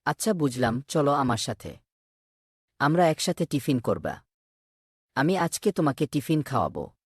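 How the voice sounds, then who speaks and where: A man voicing a kindly, concerned male schoolteacher in a cartoon, speaking gently and earnestly. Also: a little high, lively intonation, moderate pace, husky, dry recording, clean studio sound. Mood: advising. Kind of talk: cartoon voice acting.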